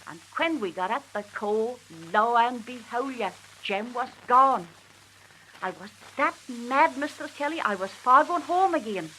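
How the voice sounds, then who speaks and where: A crackly 1940s archive recording carries a woman reciting as a Manx country wife in a sharp, fairly high voice. She is chatty and exasperated, confiding her grievances to a neighbour in broad dialect. The storytelling is animated, with steady hiss behind it. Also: measured pace. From Isle of Man. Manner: animated, confiding, repeatedly addressing her listener.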